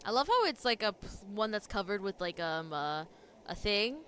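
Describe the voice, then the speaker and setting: A woman's voice: medium pitch, big pitch swings, normal speed, a bit bright, a little hiss or hum. A woman giving casual reaction commentary as a game streamer, slangy and full of interjections. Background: game music.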